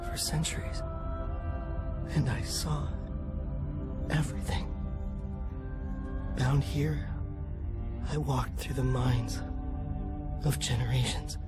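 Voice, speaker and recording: An actor playing an ancient god in a video game, eerie and solemn, with halting, hushed, dramatic delivery. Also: male voice, medium pitch, fairly even intonation, loud music behind the voice, lightly acted. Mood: dazed, eerie.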